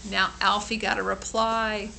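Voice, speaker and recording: A woman reading a picture book aloud to children in an expressive, sympathetic way, with informal asides. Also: mid-range voice, unhurried, vocal fry, noisy background. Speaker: storybook reader.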